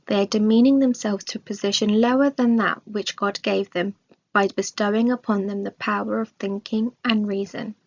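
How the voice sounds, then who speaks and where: A woman reading a scripted religious study aloud in a measured, formal way. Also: medium pitch, fairly even intonation. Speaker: reader of religious study text. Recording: dull, lo-fi sound.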